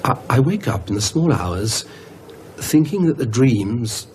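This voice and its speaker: A man's voice: medium pitch, big pitch swings, unhurried, a little mellow, slight background noise. A man playing an anxious, evasive English university academic in a radio drama, hesitant, stammering and apologetic. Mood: uneasy, weary.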